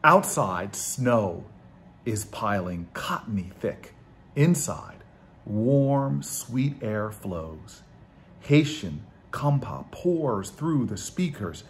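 Picture-book granddaughter, read by a man: eager, curious young girl, expressive and unhurried, faint noise behind. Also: lowish voice, exaggerated voice. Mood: delighted. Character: eager, curious young granddaughter narrating her family story.

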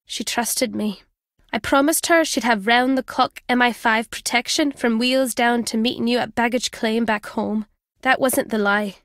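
A woman voicing a guilt-ridden, earnest middle-aged former journalist in a video game, in a measured, confessional way. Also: fairly high pitch, a bit bright, no room sound, clean studio sound. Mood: earnest, regretful. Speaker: voice actor.

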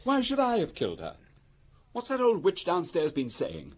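Husky, shifty middle-aged charmer. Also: male voice, high voice, huge pitch swings, moderate pace, full character voice. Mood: defensive. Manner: glib, defensive. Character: shifty middle-aged male old schoolmate, glib, self-pitying. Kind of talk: radio drama acting.

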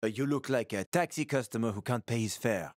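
A man's voice: medium pitch, fairly quick, no echo, clean studio sound, natural voice. An actor playing a roguish, wisecracking male survivor in a video game, talking in a casual, teasing, sweary way. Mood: wry, friendly.

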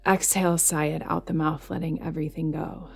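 Female meditation guide, deep register, flat soothing delivery, unhurried phrasing with pauses. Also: gravelly, slightly rough. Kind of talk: guided yoga nidra meditation narration. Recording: music behind the voice. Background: music.

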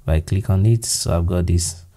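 A Nigerian man with a low, gravelly voice talks through a screen-recorded software tutorial. His tone is mellow and dark, and he thinks aloud with easygoing fillers and restarts as he goes. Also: moderate pace. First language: Yoruba. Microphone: voice-over to screen recording.

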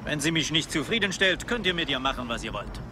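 An actor playing a calculating, possessive villain in a film dub, polite and haughty. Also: male voice, fairly high pitch, big pitch swings, fast, noisy background. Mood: cool, assertive. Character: smooth, possessive, calculating villain collaborating for pay. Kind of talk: dubbed film acting.

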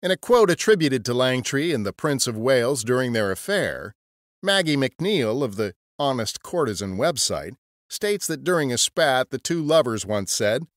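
Male podcast narrator for historical tales; animated intonation, wry storytelling, dry close sound. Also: medium pitch, normal speed, no echo.

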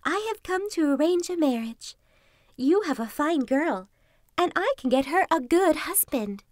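A woman performs a wily female fox from a folktale. The voice sits high and swings with sugary flattery. It is dry and close, always coaxing. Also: a little slow, no room sound. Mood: smooth, boastful. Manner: coaxing, flattering, smooth-tongued. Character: sly, sweet-talking female fox trickster. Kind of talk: children's folktale storytelling.

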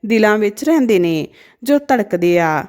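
A woman's voice: medium pitch, expressive, normal speed, darkish tone, close to an everyday voice. A woman voicing humble villagers in a storybook tale, speaking in an earnest and reverent manner. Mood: awed. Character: humbled, reverent villagers addressing and watching the daughter.

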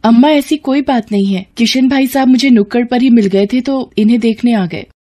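A woman's voice: on the high side, brisk, dark tone, voice pushed up, close to an everyday voice. A woman voicing a worried, dutiful young wife and mother in a cartoon story, earnest and respectful.

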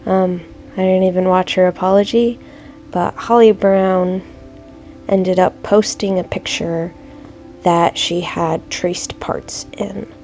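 A young woman talks slowly and haltingly, her voice congested and stuffed up from a cold. Her tone is dark and mellow with a gravelly rasp. She speaks at a desk at home, with faint music playing underneath. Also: fairly low pitch, fairly even intonation, lo-fi sound. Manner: hesitant, many ums, slow and deliberate. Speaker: art YouTuber. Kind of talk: casual commentary while drawing.

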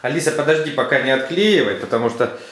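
A man's voice: mid-range voice, quick pace, a bit bright. A man, a father chatting casually with children, giving instructions and prompting them with questions. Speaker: father guiding his children through a craft. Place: living room at home. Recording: roomy indoor home recording.

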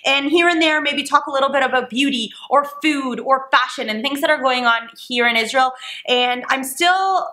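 A young woman from Canada chatting to the camera in a vlog, rambling and animated with lots of fillers. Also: high-pitched, moderate pace. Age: young adult. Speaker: vlogger. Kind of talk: casual chat to camera. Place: small dim living room indoors.

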